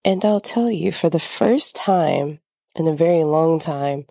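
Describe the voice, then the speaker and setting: A woman's voice: deep voice, measured pace, vocal fry, mellow, dry recording, clean studio sound. A woman podcast host with a slightly congested, nasal voice, talking candidly in a reflective personal monologue.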